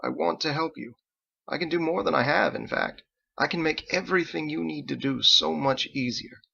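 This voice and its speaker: An elderly storybook father, acted out by a male reader, effusive and pious. He wheedles and exclaims in a pitch that lifts above the reader's own, with a creaky edge, on a slightly dull recording. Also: very animated, moderate pace, sharp tone, full character voice. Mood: pleading. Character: charming, fretful elderly father and tavern keeper, pious.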